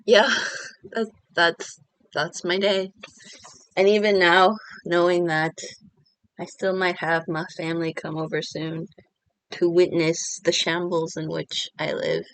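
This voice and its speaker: A woman's voice: on the low side, flat delivery, slow, clean tone, dry recording, poor audio. Woman vlogger, casual confessional ramble, self-deprecating, dry room. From Korean American.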